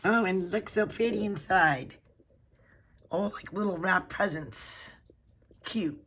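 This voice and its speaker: A woman with a hoarse voice from a cold, hosting a casual unboxing video and gushing softly over pretty items. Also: fairly low pitch, slow, slight background noise. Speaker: unboxing channel host.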